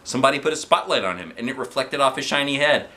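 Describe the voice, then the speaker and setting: Male storybook narrator, animated read, bright tone, medium pitch. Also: fast. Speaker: storybook reader. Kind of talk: animated children's book narration.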